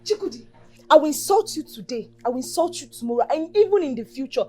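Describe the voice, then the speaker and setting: An imperious Nigerian madam in a film drama. Her fairly high voice delivers orders and taunts with deliberate contempt, over background music. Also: lively intonation, a little mellow. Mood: contemptuous. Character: haughty, contemptuous wife of the household boss.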